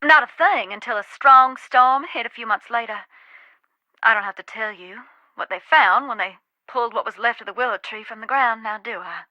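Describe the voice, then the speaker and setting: A haunted, wry woman from a video game, with an American Southern accent. Her voice is fairly high and very bright, recorded close and dry. She talks in a folksy, dramatic way, teasing yet knowing about the dead. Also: moderate pace, dry recording, highs cut off. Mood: haunted, grave.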